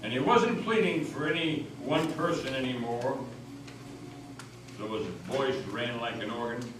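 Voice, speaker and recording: A man's voice: medium pitch, unhurried. Man doing dramatic oratorical narration, far from mic, super echoey, bit of noise. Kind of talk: scripted dramatic story reading. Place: old meeting house, live performance. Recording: very echoey hall, distant mic, faint noise.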